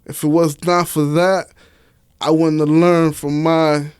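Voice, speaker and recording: A man's voice: fairly high pitch, level tone, a little slow, a little mellow, smooth, no echo. An American man being interviewed, chatting casually and emphatically in slang, peppering his talk with "you know" and "man". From Miami, Florida, United States. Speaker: interview guest, author and stage play director.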